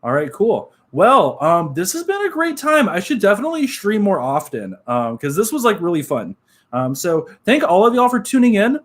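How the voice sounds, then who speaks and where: A man's voice: high-pitched, normal speed. Nasal young man chatting on a stream. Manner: relaxed, upbeat, many fillers and "like". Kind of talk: casual live-stream chat reading comments.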